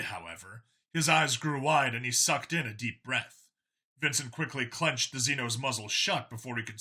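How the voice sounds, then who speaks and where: A man's voice: medium pitch, sharp tone. A man reading scripted fiction as an audiobook narrator, in a dramatic storytelling style.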